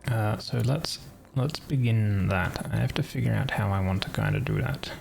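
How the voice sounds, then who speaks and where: A man, streaming a game and thinking aloud in a relaxed, calm way. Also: fairly low pitch, moderate pace, vocal fry, faint music behind. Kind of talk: casual gameplay commentary.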